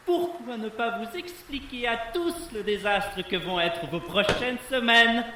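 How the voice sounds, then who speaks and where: A man's voice: very high voice, unhurried, bright, forceful tone, reverberant, slight background noise, exaggerated voice. A man playing a smug, menacing villain doctor in an audio drama, theatrical and mock-polite as he gloats. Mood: gloating. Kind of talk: audio fiction acting.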